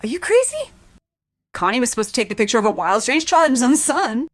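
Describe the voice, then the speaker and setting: A woman acts a distraught figure in a crime audio drama. She is high-strung and horrified, her pitch leaping around. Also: big pitch swings, quick pace, no room sound. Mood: agitated. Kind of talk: crime radio play acting.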